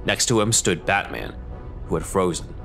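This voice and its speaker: Deep-voiced male story narrator. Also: sing-song, normal speed, vocal fry, fairly bright tone. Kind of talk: scripted audio drama narration. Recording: music behind voice.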